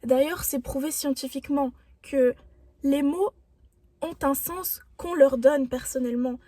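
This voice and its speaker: A young woman with a high, soft and mellow voice talks straight to her viewers. She gives self-help advice in an earnest, reflective way. Her tone stays encouraging throughout. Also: a little slow, no room sound. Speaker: vlogger. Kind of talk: motivational self-help talk.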